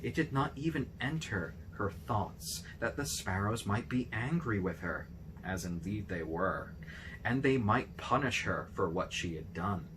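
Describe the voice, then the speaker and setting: A man reading a fairy tale aloud as an expressive narrator. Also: lowish voice, unhurried, noisy background. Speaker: storybook reader.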